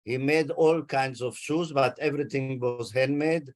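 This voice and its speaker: Man in his eighties, native Hungarian speaker, very slow pace, deliberate, interviewee recounting family history. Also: mid-range voice. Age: 80s. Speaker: interviewee, Holocaust survivor. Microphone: video call.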